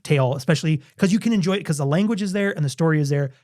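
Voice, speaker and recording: A middle-aged man co-hosting a podcast, chatting eagerly with quick restarts and frequent enthusiastic agreement. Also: a little high, a little mellow, dry recording, studio quality. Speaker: podcast co-host. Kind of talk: casual chat.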